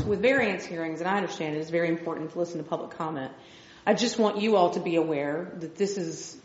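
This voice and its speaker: Planning staffer, woman, clear explainer, dull roomy hall sound. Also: fairly low pitch. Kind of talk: explaining code at a public hearing. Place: meeting hall.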